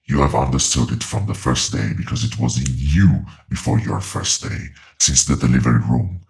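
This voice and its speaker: Abyssally deep, creaking ancient entity. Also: male voice, a little mellow. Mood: ominous, instructive. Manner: slow, deliberate, ominous pronouncements. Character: ancient otherworldly male entity, solemn, relentless, coldly knowing. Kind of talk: horror audio drama monologue.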